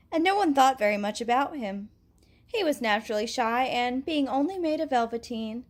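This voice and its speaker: A woman reading a picture book aloud in a gentle, expressive way, with a soft laugh now and then. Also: fairly high pitch. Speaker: storybook reader.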